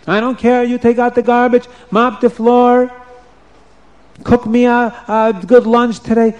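A man's voice: monotone, measured pace, darkish tone, dull audio. A man voicing a mean, bossy queen who shrieks orders at her servants. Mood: bossy, shrill. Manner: shrill, screeching demands.

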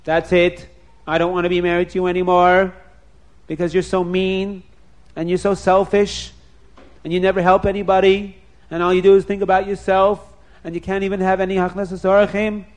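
A man voicing a quick-tempered young husband with a raised, indignant voice. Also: high-pitched, level tone, pitched above the performer's own voice, close to an everyday voice. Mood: furious.

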